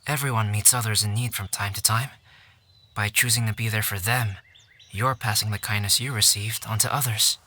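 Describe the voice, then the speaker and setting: Reserved young male scholar for an anime game; low, composed, politely explanatory. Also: fairly even intonation, normal speed, sharp tone, slight background noise. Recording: music behind the voice.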